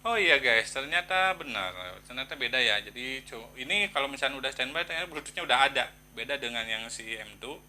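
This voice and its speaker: A man chats casually to viewers in a product review, halting and thinking aloud in slangy, informal speech. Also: mid-range voice, lively intonation, sharp tone, slight background noise.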